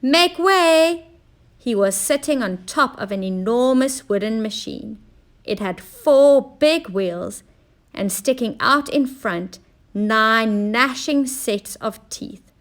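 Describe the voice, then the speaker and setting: A woman teacher narrating a children's story in an expressive, deliberate way. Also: on the high side.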